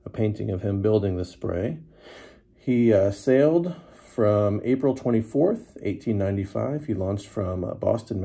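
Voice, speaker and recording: Gravelly low-voiced man giving a lesson. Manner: relaxed, many fillers, unhurried. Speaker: teacher. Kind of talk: casual history lesson to camera.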